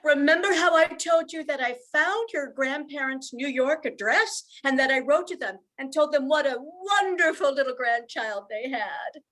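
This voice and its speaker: A woman's voice: sits high, moderate pace, few pauses, fairly bright tone, rough recording. A woman playing an earnest young widowed mother in an amateur radio play, with heartfelt, theatrical delivery. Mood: hopeful.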